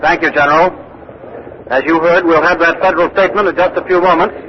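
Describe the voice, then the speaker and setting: An actor playing an earnest live news correspondent in the style of 1940s radio drama, measured and dramatic with weighty pauses. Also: male voice, a little high, fairly even intonation, lightly acted. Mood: urgent. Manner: measured, dramatic, hushed pauses between phrases. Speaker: reporter. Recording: noisy, muffled old radio broadcast.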